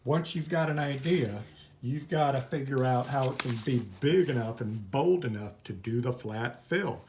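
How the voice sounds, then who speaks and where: A man's voice: mid-range voice, normal speed, darkish tone. A man teaching art in an informal, chatty, rambling hands-on demonstration, easily drifting into asides. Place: at home, indoors. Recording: noisy home recording, clicks.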